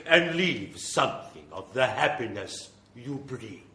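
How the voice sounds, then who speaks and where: An actor playing Dracula as a courtly, eerie old-world vampire host, formal and theatrical in an old-time horror radio play. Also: male voice, medium pitch, sing-song, sharp tone. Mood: courtly, ominous. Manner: formal, theatrical, drawn-out delivery. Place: small theatre before an audience. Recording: echoey live stage, some noise.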